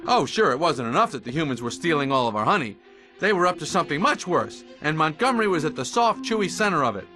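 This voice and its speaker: A man voicing an eager, wisecracking, slightly nervous young bee in a cartoon game, animated and self-deprecating, with music behind him. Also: on the high side, quick pace. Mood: earnest indignation.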